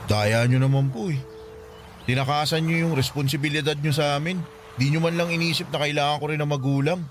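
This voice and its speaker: A man's voice: a little high, steady tone, brisk, faint music behind, close to an everyday voice. A man playing a hurt young son in an emotional Filipino radio drama, speaking hesitantly and pleadingly. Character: hurt, pleading teenage son confronting his runaway mother. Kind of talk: Tagalog radio drama acting.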